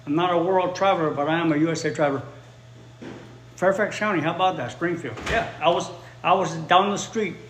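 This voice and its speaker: Spanish-native guy teaching english on a live, repeats stuff slowly, faint hum behind. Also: on the high side, a bit bright. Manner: bilingual, repeats phrases slowly for learners. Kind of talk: casual livestream chat with viewers. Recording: roomy echo, faint ambience.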